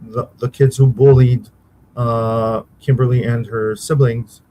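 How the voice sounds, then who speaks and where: Hesitant man, pausing for words. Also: mid-range voice, little pitch movement, warm tone, a little hiss or hum, rough recording. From Israel. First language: Hebrew. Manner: halting, searches for words, many pauses. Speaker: interview guest, uncle of a victim. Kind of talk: conversational interview answers.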